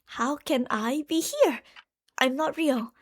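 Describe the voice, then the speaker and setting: A woman's voice: sits high, slow pace. A woman playing an obsessive, lovestruck stalker from a parallel universe in an ASMR roleplay, coaxing and explaining to the listener with fond pet names and theatrical emphasis. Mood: teasing, assured.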